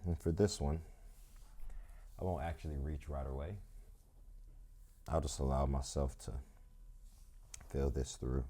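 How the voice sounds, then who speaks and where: Very deep, dark-voiced croaky man. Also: steady tone. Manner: slow, reflective, thinks aloud. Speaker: yoga instructor. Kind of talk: calm guided yoga instruction with musing asides.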